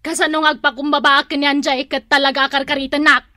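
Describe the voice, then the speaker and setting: A woman's voice: high voice, little pitch movement, quick pace, sharp tone, dry recording, studio quality. A woman with an Ilocano accent playing a proud, combative, complaining housewife in a radio drama. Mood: indignant. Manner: indignant, complaining.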